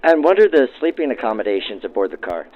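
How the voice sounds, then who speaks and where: A man's voice: medium pitch, big pitch swings, quick pace. A man hosting on-location interviews in a polite, articulate, formal way, through a vintage 1940s radio filter. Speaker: interviewer and show host. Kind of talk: on-location interview with narrated links. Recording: tinny narrow-band old radio sound.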